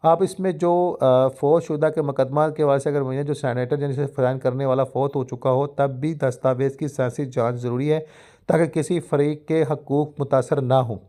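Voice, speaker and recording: Mellow Pakistani man giving a legal lecture. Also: mid-range voice, fairly even intonation, moderate pace. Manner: formal, didactic, mixes in English legal terms. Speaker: law lecturer. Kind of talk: explanatory legal lecture, part read from notes.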